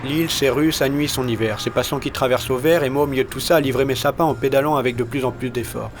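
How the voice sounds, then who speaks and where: A man narrates an audio short story in the first person, recorded in a studio. His voice sits at a medium pitch, and he tells it in a deadpan, colloquial way. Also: quick pace, background noise.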